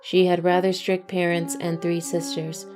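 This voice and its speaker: A woman voicing a deadpan, literal-minded female earth pony in a cartoon-style fan audio drama, speaking matter-of-factly. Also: fairly low pitch, normal speed, music in the background, lightly acted. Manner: deadpan, flat, matter-of-fact.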